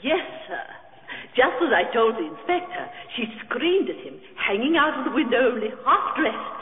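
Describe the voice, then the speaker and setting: A woman's voice: on the high side, big pitch swings, moderate pace. A woman playing an opinionated, indignant neighbour on the witness stand in a vintage radio drama. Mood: indignant, self-righteous. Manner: opinionated, emphatic. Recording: muffled, noisy old radio recording, echoey hall.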